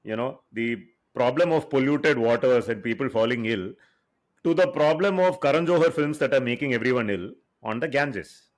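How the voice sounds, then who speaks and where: A man co-hosting a podcast, explaining his views in a relaxed, conversational way. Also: mid-range voice, normal speed. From India. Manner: conversational, explanatory, laughs easily. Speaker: podcast co-host. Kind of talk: casual podcast discussion.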